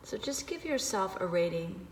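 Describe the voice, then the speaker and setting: A woman leading a guided meditation, giving gentle, unhurried instructions with pauses. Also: mid-range voice, very animated. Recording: echoey reverberant room with background noise.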